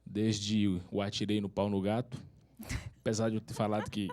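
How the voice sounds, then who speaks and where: A man's voice: lowish voice, completely flat delivery, moderate pace, a little mellow. Formal man asking a question. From northern Minas Gerais, Brazil. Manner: formal, hesitant, many fillers. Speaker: city councillor asking a question. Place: conference hall.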